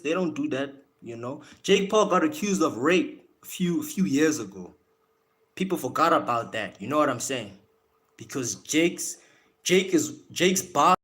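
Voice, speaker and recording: South African man with American-tinged accent, higher-pitched. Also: fairly bright tone. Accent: South African with American influence. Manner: slangy, repeats words and phrases. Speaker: live stream host. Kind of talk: casual boxing talk, chatting to viewers.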